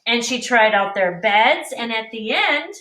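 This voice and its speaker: A woman teacher reading a picture book aloud to young children with deliberate, explanatory expression. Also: fairly high pitch, animated, pushed and bright. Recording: echoey room, distant mic.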